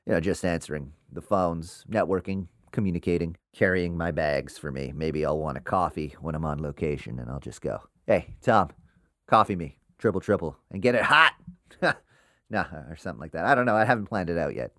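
A man's voice: deep voice, expressive, brisk, a bit bright, no room sound, studio quality. A man playing an eager, scattered amateur ghost-hunter boss in a naturalistic comedic audio drama, hesitant and repeating himself. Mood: casual, boastful. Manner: hesitant, rambling, repetitive.

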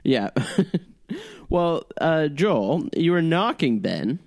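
Male podcast co-host, unhurried and lively in pitch, teasing tone, dry close mic. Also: creaky, dry recording. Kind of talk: casual chat.

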